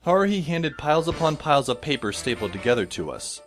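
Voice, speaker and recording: A man's voice: fairly high pitch, big pitch swings, fast talker, soft background music. A man playing a wry, sardonic teenage boy narrating a fan audio drama with dry, sarcastic asides.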